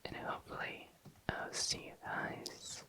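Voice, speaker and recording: An ASMR host speaking in a breathy, unvoiced whisper, softly commenting on a craft and soothingly repeating words. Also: measured pace. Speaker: ASMR video creator, host. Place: indoors at a desk.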